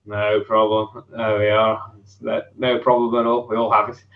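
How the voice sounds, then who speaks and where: A man's voice: fairly low pitch, level tone, moderate pace, phone-quality sound. A man from Australia hosting a casual chat, easygoing and full of fillers. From Perth, Australia. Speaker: host.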